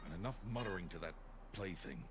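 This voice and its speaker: An actor playing a stern, protective outcast hunter and mentor in a video game, speaking gravely and giving instructions. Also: male voice, low voice, lively intonation, fast, very noisy. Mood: stern, instructive. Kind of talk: video game drama acting.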